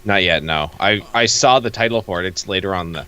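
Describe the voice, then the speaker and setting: A man with a slightly low but sharply bright voice. He talks in a casual, comedic way as co-host of a read-along with a friend. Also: fairly quick, smooth.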